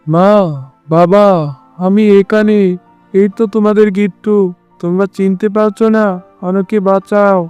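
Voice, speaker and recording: Man voicing a high-pitched, pleading young fox. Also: level tone, measured pace, pauses often, darkish tone, clear, resonant tone, no echo, dull audio, soft background music. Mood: distressed. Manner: plaintive, pleading. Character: hapless young male fox turned into bird, pleading. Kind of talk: Bengali children's cartoon dubbing.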